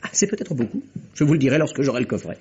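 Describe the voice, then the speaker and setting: An actor playing a wry, methodical police inspector in a French radio mystery play, with a polite, ironic, insinuating manner. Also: male voice, medium pitch, sing-song, very fast, a little mellow, husky. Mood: measured, reporting. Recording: dull, muffled vintage radio recording.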